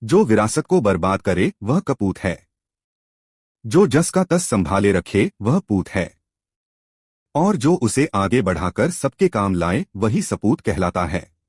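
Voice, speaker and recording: Man voicing sententious village folk, husky. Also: on the low side, big pitch swings, slow, a little mellow, no echo, studio quality, full character voice. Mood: moralizing. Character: village folk pronouncing sage moral wisdom.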